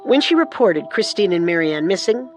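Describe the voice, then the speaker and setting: A woman co-narrating a scripted true-crime story with measured, dramatic delivery. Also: fairly low pitch, big pitch swings, faint music behind.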